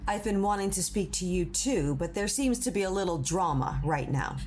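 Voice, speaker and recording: A woman's voice: lowish voice, animated, normal speed, darkish tone, lightly acted. Woman acting a wistful sister over music. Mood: wary. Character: lonely, wistful personified Moon, gently sisterly. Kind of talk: audio drama acting. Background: music with plucked guitar.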